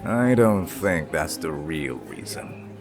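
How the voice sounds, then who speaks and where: A man playing a deep-voiced, stern, righteous father. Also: big pitch swings, over music, exaggerated voice. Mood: stern, cold. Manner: righteous, slow and measured, disapproving. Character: stern, unyielding father, a veteran monster exterminator. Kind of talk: audio roleplay drama acting.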